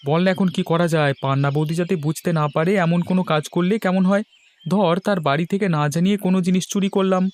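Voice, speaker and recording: A man's voice: high-pitched, fairly even intonation, fast talker, a little mellow, voice pushed up, natural voice. A man voicing a touchy, mischievous young village friend in a cartoon story, speaking insistently. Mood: scheming.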